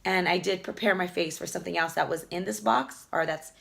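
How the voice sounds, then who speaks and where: A woman beauty vlogger chatting casually through an unboxing, hoarse from a lingering cough, rambling fondly about each item. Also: lowish voice, brisk, fairly bright tone. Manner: chatty, fond, rambling product talk with fillers. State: hoarse, losing her voice from a cough.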